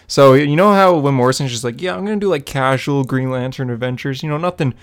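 A man's voice: medium pitch, fast, smooth. A man co-hosting a podcast, talking about comics in a casual, laid-back and informal way. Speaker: podcast co-host. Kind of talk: casual comics discussion chat.

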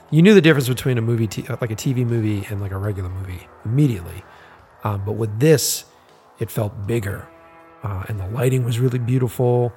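Male podcast host, hoarse and raspy, loose chatty delivery full of ums. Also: mid-range voice. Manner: rambling, lots of ums and uhs. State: hoarse from a busy week. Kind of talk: casual podcast talk.

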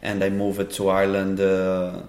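Man, Brazilian accent, low voice, flat delivery, halting phrasing, echoey room. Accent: Brazilian Portuguese. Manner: halting, searching for words in a second language. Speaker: dance teacher and festival organizer. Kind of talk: casual interview answers.

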